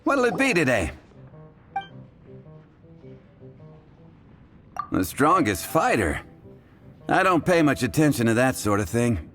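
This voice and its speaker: A man's voice: mid-range voice, big pitch swings, moderate pace, a bit bright, soft background music. A man playing a wry, wordy, easygoing tavern character in a video game. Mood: resigned.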